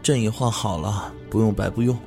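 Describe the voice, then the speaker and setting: A man's voice: fairly low pitch, steady tone, fairly quick, music in the background, natural voice. A man voicing a cheeky, cheerful young talisman apprentice who talks in a playful, teasing way in an amateur audio drama. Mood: puzzled.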